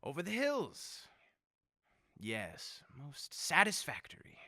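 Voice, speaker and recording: A man's voice: a little high, huge pitch swings, slow, pushed and bright, exaggerated voice. A man playing a pompous, sneering, megalomaniacal dictator in old-time radio horror style. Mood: cold menace. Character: megalomaniacal, murderous male dictator gloating madly.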